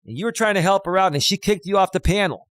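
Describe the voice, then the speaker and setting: Male life coach, 50, loud and emphatic, slightly high-pitched, dry close studio mic. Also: quick pace, dry recording. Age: 50s. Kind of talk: live stream commentary reading chat. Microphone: studio dynamic mic.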